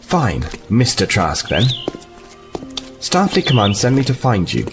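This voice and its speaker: Man as an earnest, animated space fleet envoy. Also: lowish voice, over music, full character voice. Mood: earnest, persuasive. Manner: apologetic, halting, then insistent. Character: polite, earnest, hesitant Starfleet envoy on assignment. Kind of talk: science fiction audio drama acting.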